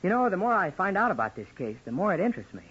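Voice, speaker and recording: A man's voice: sits high, sing-song, rapid-fire, fairly bright tone, breathy edge, exaggerated voice. An actor playing an earnest, polite, determined young male college student in 1940s radio drama style. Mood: inquisitive, determined. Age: young adult. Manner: eager, polite, deferential. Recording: dull, noisy old radio recording.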